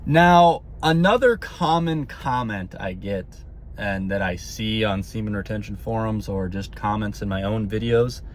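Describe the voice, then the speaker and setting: A man's voice: on the low side, unhurried, a little hiss or hum. A man talking to his viewers in a casual monologue, earnest and rambling, often tagging his sentences with "okay?" and repeating words. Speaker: spiritual vlogger.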